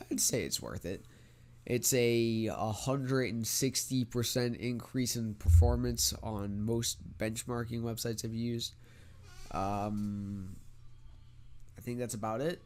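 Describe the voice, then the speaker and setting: A young man with a slightly nasal voice from a cold, rambling casually into his desk mic as a gaming channel host. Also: medium pitch. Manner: rambling, many fillers and restarts. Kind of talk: casual vlog chat. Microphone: USB desk mic with pop filter.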